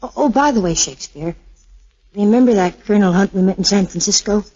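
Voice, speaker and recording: Muffled 1940s radio recording, actress as husky woman, devoted sweetheart, tender, bravely restrained. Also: mid-range voice, a little fast, a little mellow, lightly acted. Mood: guarded. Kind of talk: 1940s radio drama acting.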